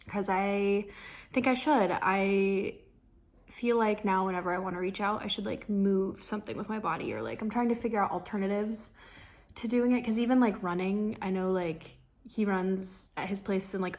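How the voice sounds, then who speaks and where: A woman's voice: mid-range voice, fairly even intonation, moderate pace, darkish tone. Casting: young female vlogger, mid-range voice, informal and confiding, loosely rambling through personal updates. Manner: rambling, confiding, self-talk with trailing thoughts. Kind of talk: casual personal vlog, talking to camera.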